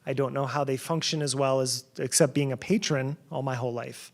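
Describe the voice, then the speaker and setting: A man's voice: mid-range voice, fairly even intonation, a little fast, creaky. A middle-aged man from New Jersey giving a conference keynote in a conversational way, with sarcastic asides and frequent restarts. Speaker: keynote speaker.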